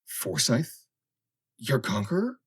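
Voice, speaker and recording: Man voicing a high, gentle injured young woman. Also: huge pitch swings, takes it slowly, husky, heavily acted. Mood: earnest. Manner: soft, earnest. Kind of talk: dramatic audiobook character voice.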